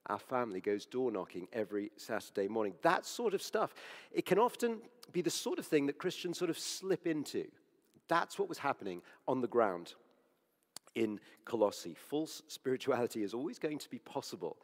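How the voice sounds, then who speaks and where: A man preaching with a hoarse, croaky voice. He sounds earnest and conversational, often correcting himself mid-sentence. His voice carries a long echo, as in a church hall. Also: mid-range voice, big pitch swings, moderate pace. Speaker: preacher on the church leadership team. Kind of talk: sermon.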